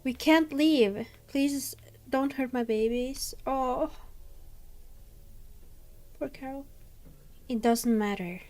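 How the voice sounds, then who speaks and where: A woman's voice: high-pitched, slow, pauses often, a little mellow. A woman hosting a reaction video, casually and expressively commenting on a horror story and reading along.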